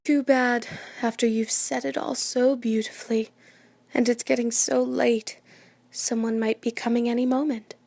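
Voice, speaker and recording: A woman playing an excitable, imperious New York society hostess and mother in a radio play, chattering and dramatic. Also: a little high, normal speed, creaky, dry recording. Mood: flustered, brisk.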